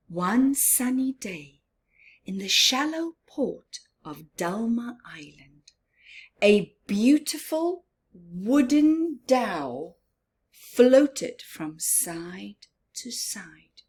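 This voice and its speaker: Woman doing kids story time, slow n animated, talks right to the little listeners w simple explanations. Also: medium pitch, slightly rough. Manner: addresses young listeners directly with simple explanations and questions. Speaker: children's story-time host and reader. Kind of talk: read-aloud storytelling for young children with explanatory asides.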